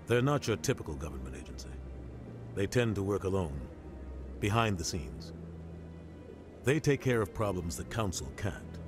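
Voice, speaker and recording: Man acting a low-voiced, seasoned military officer. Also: natural voice. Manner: matter-of-fact, authoritative explaining. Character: seasoned, pragmatic male military officer and political advisor. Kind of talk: measured video game dialogue.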